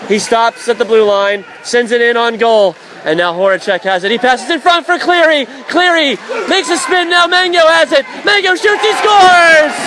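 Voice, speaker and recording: A man calling live ice hockey play-by-play for radio, excitable and raising his voice on big plays. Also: extremely high pitch. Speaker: play-by-play commentator. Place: indoor ice rink broadcast position. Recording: live arena commentary with faint crowd noise. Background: faint crowd and rink noise.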